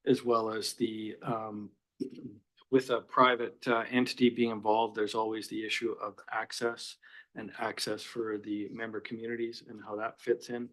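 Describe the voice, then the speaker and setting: Flat-voiced man councillor. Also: fairly low pitch, normal speed, rough recording. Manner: hesitant, with many fillers. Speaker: city councillor. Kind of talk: council report answer.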